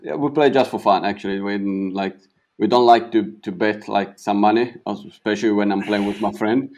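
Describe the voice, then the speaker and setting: A young Italian man with a slight South African tinge, a pro golfer answering interview questions in halting English that repeats words. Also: fairly low pitch, fairly even intonation, a little mellow. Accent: Italian, with a touch of South African. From Italy.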